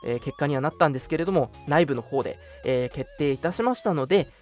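A man's voice: a little high, animated, quick pace, soft background music. A young man hosting an online radio show, making announcements in a polite, earnest, conversational way. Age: young adult.

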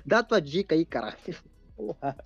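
A young Brazilian man in casual chat, excitable and slangy, swearing often. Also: fairly high pitch, measured pace, gravelly, slightly rough, dry recording, highs cut off, faint music behind. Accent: Brazilian Portuguese.

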